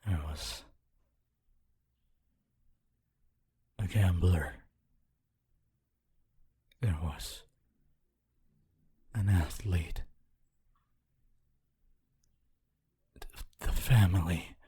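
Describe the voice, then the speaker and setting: A man voicing a lonely, honorable ghost of a teacher in an ASMR roleplay, speaking in a hushed, breathy, halting and wistful way. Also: low voice, exaggerated voice. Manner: slow, halting, wistful, intimate. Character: honorable lonely male ghost, former teacher, tender and regretful.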